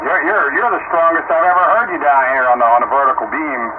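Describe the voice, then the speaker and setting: A man's voice: on the high side, animated, fast talker. A man chatting casually over two-way radio, thinking aloud and repeating words as he goes. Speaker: amateur or CB radio operator. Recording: narrow tinny radio transmission, loud static.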